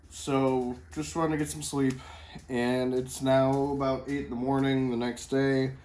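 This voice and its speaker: A man's voice: on the low side, a little mellow. A man chatting casually to camera as a book vlogger, in a rambling, informal and slangy way.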